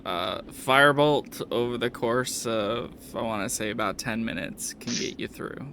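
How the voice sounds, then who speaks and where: A man running a tabletop game as game master, narrating casually in halting phrases. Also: mid-range voice, pushed and bright, a little hiss or hum.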